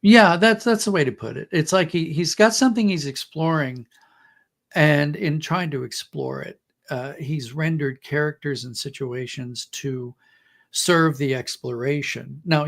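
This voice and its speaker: A man's voice: on the high side, moderate pace. A middle-aged or older man in a podcast conversation, talking reflectively about books like a storyteller and illustrating his points with acted-out quotes. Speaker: podcast guest, writer. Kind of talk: conversational storytelling and literary discussion.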